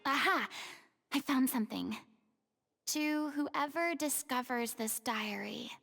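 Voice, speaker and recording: A woman's voice: sits high, slow pace, gravelly, fairly bright tone, full character voice. A woman voicing a poised, commanding young noblewoman in a video game, speaking in an articulate, theatrical way. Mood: pensive. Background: game music.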